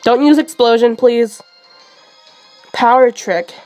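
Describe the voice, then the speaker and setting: A young woman streaming a handheld game, sick and losing her voice, chatting excitably with many fillers. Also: fairly high pitch, slow pace, dull audio, soft background music. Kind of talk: live shiny hunting commentary.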